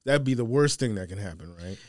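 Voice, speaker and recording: A man's voice: on the low side, animated, fast, no room sound, clean studio sound. A man speaking as a podcast guest in informal, conversational casual chat. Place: studio.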